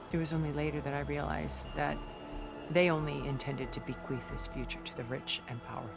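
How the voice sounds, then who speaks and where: Poised, cultured older magnate, music behind. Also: female voice, deep voice. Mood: measured, regretful. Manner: measured, refined, eloquent. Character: poised, cultured older female tech magnate, secretive, wistful. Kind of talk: video game cutscene acting.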